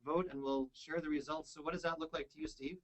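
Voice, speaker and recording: A man hosting a software webinar, upbeat and joking, enthusiastic as he talks with a colleague. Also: on the high side, fairly even intonation, fast talker, rough recording. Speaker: webinar host. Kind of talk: casual webinar chat.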